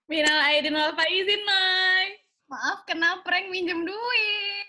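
Bright, high-voiced Jakarta woman podcast host. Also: slow pace. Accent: Jakarta Indonesian. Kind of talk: casual playful podcast banter.

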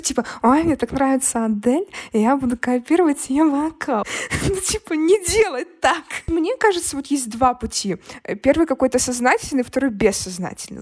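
Young female podcast guest, singer type. Fairly high voice, informal and expressive delivery. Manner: expressive, informal, acts out her inner dialogue. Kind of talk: casual conversational interview answers.

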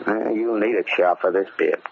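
Slangy crook, tinny old radio. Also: male voice, fairly low pitch, steady tone, normal speed, vocal fry, a little hiss or hum. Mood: easygoing, bossy. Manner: informal, brusque, colloquial tough talk. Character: gruff, slangy crook hiding from an enemy. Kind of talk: old time radio horror acting.

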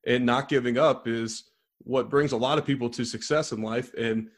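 A man hosts an interview podcast. He opens with a measured, scripted guest introduction, then eases into easy, friendly banter. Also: mid-range voice, fairly even intonation. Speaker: podcast host.

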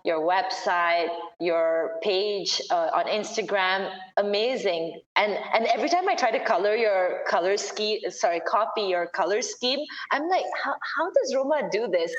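A woman co-hosting a live podcast in casual chat, warm and playful, teasing her friend. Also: mid-range voice, measured pace, hardly any pauses, echoey room, dull audio. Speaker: podcast co-host.

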